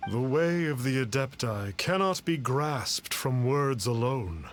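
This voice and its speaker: A man's voice: mid-range voice, lively intonation, takes it slowly, music in the background. A man voicing an aloof, formal, cryptic self-styled immortal sage in a video game. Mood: aloof, lofty.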